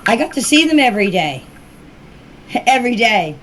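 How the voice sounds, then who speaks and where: Older lady w a high voice just answering questions, plain spoken n insistent, bit of noise on the clip. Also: big pitch swings, moderate pace, fairly bright tone. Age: older, grandmother. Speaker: relative speaking out about a missing children case. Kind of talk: informal spoken answers to group chat questions. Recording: noisy amateur voice clip.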